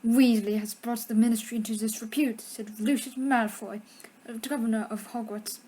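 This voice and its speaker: A woman's voice: on the high side, fairly even intonation, moderate pace, warm tone, slightly rough. A woman playing a sneering, arrogant schoolboy wizard bully in an audio drama. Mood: scornful.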